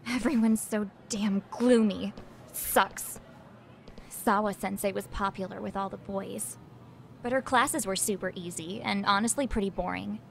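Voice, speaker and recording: A woman's voice: medium pitch, measured pace, creaky, faint music behind. A woman voicing an abrasive, scornful teenage schoolgirl in a video game dub. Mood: contemptuous. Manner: scornful, brash. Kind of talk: English game dub dialogue.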